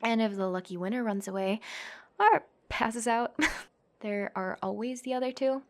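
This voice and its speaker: A woman's voice: a little high, moderate pace, darkish tone, close to an everyday voice. A young woman playing a tough, cocky tsundere classmate who fights MMA, brash, bossy and teasing, in an ASMR roleplay. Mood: menacing, cocky. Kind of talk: ASMR girlfriend roleplay acting.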